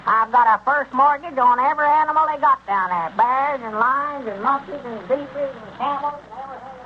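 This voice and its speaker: Cocky old Southern trader, extremely high voice, bragging, cackling, radio comedy, muffled recording. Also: male voice, brisk, a little hiss or hum. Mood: boastful. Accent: rural Southern US. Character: boastful, cocky old male rustic trader.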